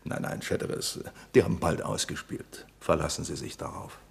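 An actor playing a pedantic, indignant bank manager in a German radio play, with an officious, fussy manner. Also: male voice, deep voice, moderate pace, gravelly. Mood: composed, dry. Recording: faint noise, older radio production.

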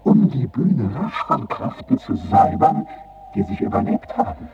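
A man's voice: a little high, very animated, normal speed, heavy background noise. An actor playing a cold, doctrinaire male political theorist, declaiming formally in a radio drama. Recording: muffled, loud animal-like roaring ambience.

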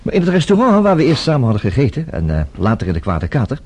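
An actor playing a sceptical, urbane bachelor journalist in a Dutch radio play, drily casual with small hesitations. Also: male voice, medium pitch, wildly swooping pitch, fast talker, darkish tone, husky. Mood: confiding.

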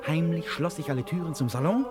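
An actor playing an eccentric, courteous gentleman who tells things dreamily and effusively in a German radio play. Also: fairly high pitch, expressive, fast, loud music behind the voice, lightly acted. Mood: dreamy, entranced. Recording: studio radio play with crowd behind.

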